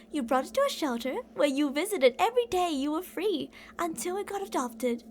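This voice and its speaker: A woman's voice: extremely high pitch, a little hiss or hum. A woman playing an obsessive older female kidnapper in an ASMR roleplay, cooing and possessive, doting yet menacing, with theatrical delivery. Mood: tender, possessive. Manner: cooing, possessive, theatrical, with pet names and whispered phrases.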